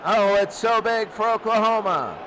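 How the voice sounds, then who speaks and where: A man's voice: sits high, animated, moderate pace, a bit bright, a little hiss or hum, rough recording. A man hosting a hobby football game, explaining the rules and then calling the plays in an old-time radio announcer style.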